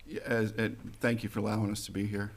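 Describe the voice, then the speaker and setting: A man in his forties answering at a school board candidate forum, plain and halting, with fillers and restarts. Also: fairly low pitch, flat delivery, slight background noise. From Missouri, USA. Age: 40s.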